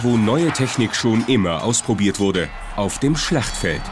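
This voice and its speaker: A man reading scripted documentary narration as the narrator. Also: on the low side. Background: prominent music.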